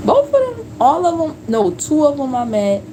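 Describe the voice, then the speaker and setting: A woman in her late forties chatting casually on a live stream, telling stories in a relaxed, slangy way. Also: high-pitched, normal speed, noisy background. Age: 40s. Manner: relaxed storytelling with slang and laughs.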